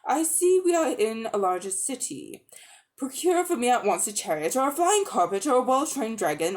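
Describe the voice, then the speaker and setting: A woman's voice: mid-range voice, a little mellow, close to an everyday voice. A woman voicing a haughty, scornful witch queen who commands with contempt in a dramatic storybook reading.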